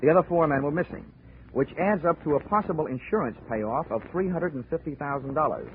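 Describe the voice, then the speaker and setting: A man's voice: on the high side, animated, a little fast, a little hiss or hum, lightly acted. An actor playing a male insurance investigator, who narrates and delivers dry, wry wisecracks in 1940s radio acting. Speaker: lead and narrator. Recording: tinny old radio broadcast with music.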